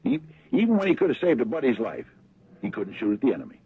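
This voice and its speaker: A man's voice: medium pitch, huge pitch swings, quick pace, breathy edge, dry recording, heavily acted. A man playing a skeptical, loyal small-town American sheriff in a radio drama, folksy and a little hesitant. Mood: stubborn, defensive. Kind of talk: 1980s radio drama acting. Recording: dull, noisy old radio broadcast.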